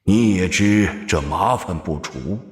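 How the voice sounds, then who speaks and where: An actor playing a solemn, quietly ominous old Buddhist abbot in an audio drama, measured and formal. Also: male voice, sits low, lively intonation. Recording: long echoing reverb, music behind.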